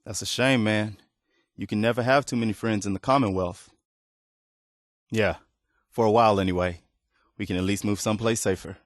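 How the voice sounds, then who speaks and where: A man's voice: fairly low pitch, steady tone, normal speed, pauses often, no echo, studio quality, close to an everyday voice. A man playing an earnest, weary militia leader in a video game, speaking in a sincere, plain-spoken, conversational way. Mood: sincere, grateful. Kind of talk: video game dialogue acting.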